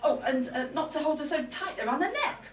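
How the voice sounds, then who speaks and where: A woman's voice: high-pitched, lively intonation, fairly quick, a little hiss or hum. A woman playing an irritable, aggrieved middle-aged wife in a live comic monologue, complaining indignantly. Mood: aggrieved, griping. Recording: roomy live event, distant mic.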